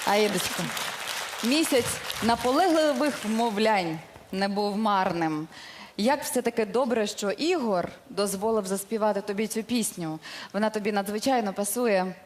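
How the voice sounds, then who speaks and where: A woman's voice: mid-range voice, measured pace, a faint crowd. A woman presenting a live talent show on television. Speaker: TV show host. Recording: live studio with audience, faint noise.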